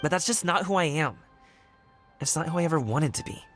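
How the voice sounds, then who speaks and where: A man's voice: on the high side, lively intonation, a little fast, a bit bright, soft background music. An actor playing an easygoing young man, a wushu dancer working as a guard, friendly and candid in a video game. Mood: earnest. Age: young adult. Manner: friendly, laid-back and candid. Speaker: game character.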